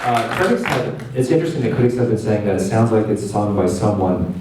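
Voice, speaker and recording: A man's voice: fairly low pitch, fast talker, over a noisy crowd. A man hosting an onstage Q&A at a film festival, asking questions and teasing the guest playfully. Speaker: festival host interviewing the guest. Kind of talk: onstage Q&A interview. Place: cinema auditorium stage. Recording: echoey hall, far mic, audience noise.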